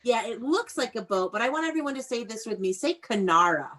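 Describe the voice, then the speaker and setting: A woman's voice: on the high side, animated, fairly quick, a bit bright. A woman teaching young children in an online read-aloud class, prompting them with questions and encouragement in an instructive way.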